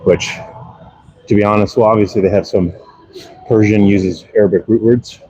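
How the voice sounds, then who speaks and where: A man's voice: fairly low pitch, fairly even intonation, moderate pace, darkish tone, slightly rough, dull audio. A man in his early forties casually talking viewers through his workout live, explaining exercises offhand as he goes. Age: early 40s. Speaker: fitness live streamer. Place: workout space.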